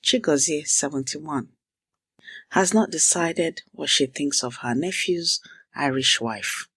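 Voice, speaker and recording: A woman teaches a language, setting the scene with short, measured story fragments before drilling phrases. Her voice is slightly husky and unhurried. The sound is close and dry, with no room around it. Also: low voice, fairly bright tone, no room sound. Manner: clipped, measured fragments with deliberate pauses; repeats target phrases slowly for learners.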